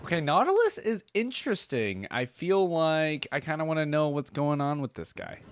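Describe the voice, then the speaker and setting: A man's voice: fairly high pitch, very animated, normal speed, faint music behind. A man hosting a reaction video, commenting casually in colloquial, exclaiming bursts.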